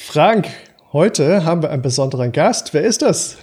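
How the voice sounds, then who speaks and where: A man's voice: on the high side, lively intonation, normal speed, a little mellow, husky. A man hosting a podcast, chatting in a friendly way and asking his guest questions. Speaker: podcast host. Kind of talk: casual podcast interview.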